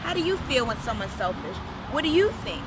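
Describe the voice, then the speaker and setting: High-pitched woman hosting children's story time. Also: sing-song, brisk, a loud crowd, heavy background noise. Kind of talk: children's read-aloud with direct questions to young viewers. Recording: music under the voice. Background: music.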